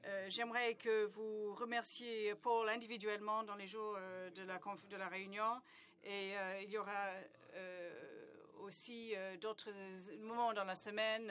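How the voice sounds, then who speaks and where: A woman's voice: mid-range voice, steady tone, a bit bright, a little hiss or hum. A woman gives live simultaneous interpretation of a conference speech. She hesitates often and fills the gaps with stalling sounds. She restarts phrases mid-word, then carries on. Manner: halting, many hesitations and restarts.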